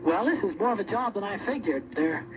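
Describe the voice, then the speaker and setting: A young American man with a notably high voice answers interview questions by telephone. The old radio recording is muffled and clearly noisy. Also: lively intonation, brisk. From Salt Lake City, United States. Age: young adult. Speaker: radio show contestant reporting by telephone.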